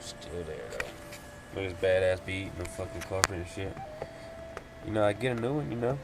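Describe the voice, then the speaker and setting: A man's voice: deep voice, normal speed, heavy background noise. A man talking casually in a rambling vlog, profane and slangy, thinking aloud and poking fun at himself. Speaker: vlogger, amateur music producer. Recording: loud music behind the voice. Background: music.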